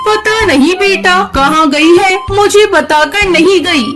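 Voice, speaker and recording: A very high-pitched mother crow acted by a woman from a children's cartoon. She is gentle, practical and coaxing, with music clearly playing behind her. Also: slow. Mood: caring. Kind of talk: Hindi children's cartoon dialogue.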